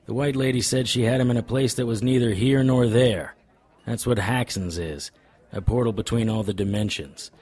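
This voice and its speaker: A man's voice: medium pitch, darkish tone, breathy edge. A man playing a wry, world-weary paranormal investigator in an audio drama, narrating and talking with dry wisecracks. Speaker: lead character and narrator.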